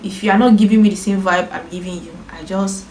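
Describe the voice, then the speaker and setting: A woman's voice: mid-range voice, fairly even intonation, fast talker, darkish tone, roomy sound, slight background noise. Playful Nigerian woman chatting. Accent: Nigerian English. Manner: playful, teasing, laughs often. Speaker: YouTuber host. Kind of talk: casual chat.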